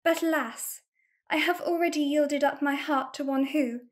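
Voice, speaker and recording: High-voiced melodramatic young lady, acted by a woman. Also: steady tone, moderate pace, close to an everyday voice. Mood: grieved, rapturous. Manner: florid, formal, effusive declaiming. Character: melodramatic lovelorn young lady of property, earnestly effusive. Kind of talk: theatrical radio-play farce acting.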